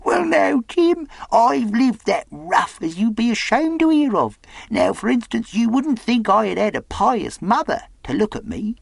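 A storybook castaway, an old pirate gone half crazy from solitude. The voice is very high and swoops wildly. He is sly, childish and eager, talking in rough, ungrammatical sailor's speech and repeating himself. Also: sing-song, measured pace, dry recording, full character voice. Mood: pious, chatty.

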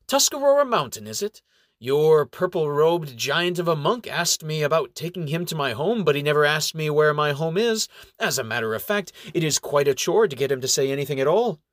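A wily old gray-haired elf with a merchant's charm, cheeky and wheedling, as a man puts him on. The voice sits high above the storyteller's own and bounces around. It is dry and close. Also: lively intonation, brisk, no echo, close to an everyday voice. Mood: sly.

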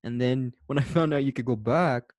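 A man's voice: medium pitch, moderate pace, darkish tone, no echo. Male co-host, slight Spanish-tinged Texan accent, easygoing and giggly, dry booth sound. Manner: laughs often, swears casually. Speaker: podcast co-host. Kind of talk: casual chat.

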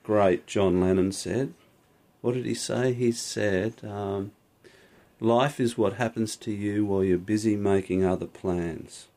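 A man's voice: lowish voice, fairly even intonation, unhurried, warm tone. A man co-hosting an unscripted podcast in a studio, rambling and correcting himself, with frequent laughs. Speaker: podcast co-host. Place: small recording studio. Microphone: studio microphone.

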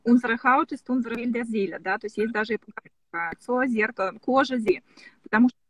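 A woman's voice: on the high side, fairly quick, a bit bright, no echo. A woman interviewing a guest, hesitant and searching for words with frequent restarts. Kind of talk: casual interview over video call.

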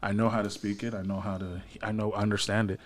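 A young man with a lowish voice talking loosely on a late-night podcast. He swears freely and pauses with plenty of ums as he tells stories about growing up in a Haitian family. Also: flat delivery. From Haitian family, raised in America. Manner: laid-back, swears often, trails off with ums. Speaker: podcast co-host. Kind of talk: casual chat.

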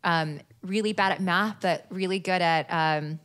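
A woman with a slightly low voice talks earnestly on a podcast. She keeps things flowing with frequent "like"s and "right?" as she makes her point. Her tone stays conversational throughout. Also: unhurried, a bit bright, smooth. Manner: earnest, fluent, many "like"s and "right?". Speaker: podcast guest, healer. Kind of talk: casual podcast chat.

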